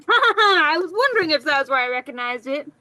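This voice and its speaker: A woman chatting casually as a player in a tabletop game, halting and stammering as she goes. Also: sits high, sing-song, pushed and bright, dry recording.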